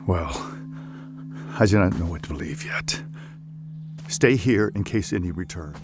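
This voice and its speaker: An actor playing John, a steady disciple who asks measured, probing questions. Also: male voice, sits low. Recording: music score behind.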